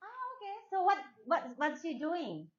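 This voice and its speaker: A woman's voice: very high-pitched, sing-song, moderate pace. A Japanese woman teaching a class in English with a strong Japanese accent, asking questions and often repeating herself. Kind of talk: classroom talk with students. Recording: echoey room, dull lo-fi sound.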